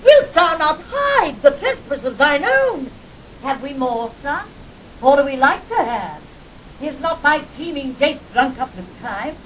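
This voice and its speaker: A woman's voice: big pitch swings, unhurried. An actress playing a fiercely protective old duchess and mother in a Shakespearean audio drama, with a British accent and theatrical, emotional delivery. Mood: alarmed, defiant. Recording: rumbly, noisy vintage 1960s drama recording.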